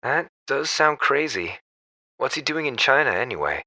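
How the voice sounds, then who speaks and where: A man's voice: medium pitch, very animated, moderate pace, pushed and bright, no room sound, full character voice. An actor playing a caring, inquisitive male friend, attentive and conversational, in an audio drama. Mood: curious concern.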